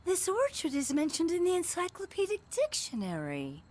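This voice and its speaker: A woman's voice: extremely high pitch, wildly swooping pitch, moderate pace, darkish tone, heavily acted. An actress playing an eccentric, sentimental middle-aged aristocratic woman in a visual novel, with a dreamy, theatrical manner. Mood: indignant, proud.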